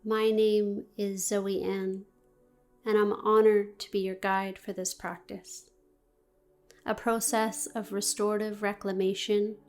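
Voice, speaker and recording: A woman guiding a meditation in a gentle, deliberate, soothing manner with long pauses. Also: mid-range voice. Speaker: yoga nidra meditation guide. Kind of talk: guided meditation, scripted narration.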